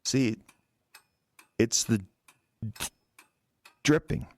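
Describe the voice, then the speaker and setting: A man's voice: mid-range voice, extremely slow, darkish tone. An actor playing an agitated, confused man making a confession in an audio horror drama, stammering and repeating himself. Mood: haunted, fixated. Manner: stammering, repetitive, pleading.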